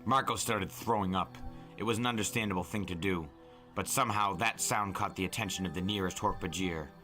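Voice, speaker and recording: Deep, forceful male storyteller. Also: fairly quick, faint music behind. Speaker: storyteller, podcast narrator. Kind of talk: dramatic audiobook narration.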